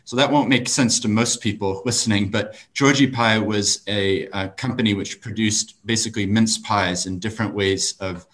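A man's voice: fairly low pitch, fairly even intonation, moderate pace, hardly any pauses, a bit bright. A man from New Zealand who works as a lawyer, hosting an online panel and asking questions in a friendly way with fillers. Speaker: host and lawyer.

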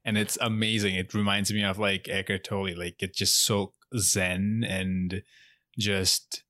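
A man's voice: low-pitched, little pitch movement, a little slow, pushed and bright, dry recording, studio quality. A man from Bangladesh, a comedian guest, chatting on a podcast over a call in an upbeat, giggly, rambling way. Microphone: remote call.

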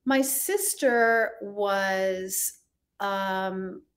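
A woman from Rhode Island, a fashion designer guest, talking in a relaxed, chatty way full of fillers. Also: mid-range voice, drawn-out words. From United States, Rhode Island. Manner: chatty, relaxed, many fillers like "like" and "you know". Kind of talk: casual interview chat.

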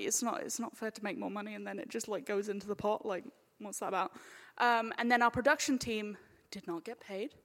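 A woman talking casually on a live panel, chatty and informal, slipping into imagined quotes as she explains. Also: medium pitch. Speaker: panel speaker, audio drama maker. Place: hall at a live convention panel. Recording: echoey hall with long reverb.

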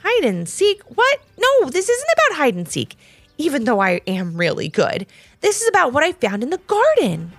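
An excited little boy from a children's storybook, acted out by a woman. The pitch is high and swings widely. He sounds eager and boastful, exclaiming with enthusiasm. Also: normal speed, dry recording, soft background music, heavily acted.

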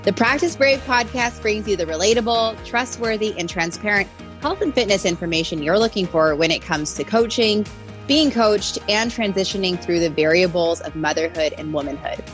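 A woman's voice: mid-range voice, expressive, normal speed, faint music behind. A woman hosting a podcast, upbeat and enthusiastic as she introduces the show and her guest. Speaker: podcast host, coach.

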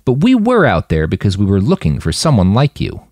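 A man's voice: lowish voice, huge pitch swings, brisk, a little mellow, no room sound, clean studio sound, exaggerated voice. A man voicing a laid-back, blunt post-human woman in an audiobook, talking casually and swearing freely. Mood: frank.

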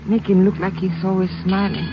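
An actress playing a frightened, injured young Mexican woman in a 1950s radio drama, speaking with a Mexican Spanish accent and a breathy voice that falters with pain. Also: mid-range voice, flat delivery, a little fast, lightly acted. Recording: muffled old radio broadcast with hiss.

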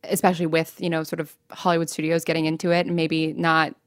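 American woman chatting in an interview, lowish voice, says like a lot, really dry sound. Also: monotone, fast talker, clear, resonant tone, dry recording. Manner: chatty, informal, frequent "like" and self-interruptions. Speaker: interview guest, fiction podcast writer and producer. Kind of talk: candid conversational interview.

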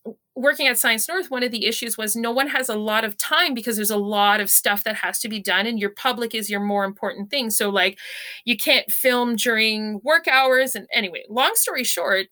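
A woman with a loud voice, chatting in an animated, jokey and self-deprecating way as an interview guest. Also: a little high, moderate pace. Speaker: interview guest, science communicator. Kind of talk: animated casual chat, telling anecdotes.

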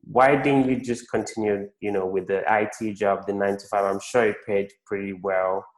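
A man's voice: lowish voice, fairly even intonation, normal speed, rough recording. A man hosting an interview podcast, speaking to guests and listeners. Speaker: podcast host. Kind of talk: podcast interview and scripted promo.

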